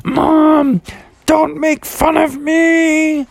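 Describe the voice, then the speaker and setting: A man voicing an excitable young male frog from a children's storybook, whining and exclaiming. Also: extremely high pitch, very slow, creaky, pitched above the performer's own voice, exaggerated voice. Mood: upset, whining.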